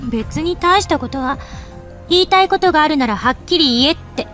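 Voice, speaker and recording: Young anime game heroine, high pitch, very fast, cool, proud, blunt, crisp, faint music. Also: female voice, expressive, a bit bright. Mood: dismissive, unimpressed. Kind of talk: Japanese anime game voice acting.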